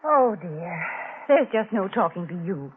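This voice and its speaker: A woman giving a folksy, chatty, homey sponsor pitch in 1940s radio advertising style. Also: sing-song, a little slow, slight background noise. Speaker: sponsor spokeswoman and co-host. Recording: tinny, band-limited old radio recording.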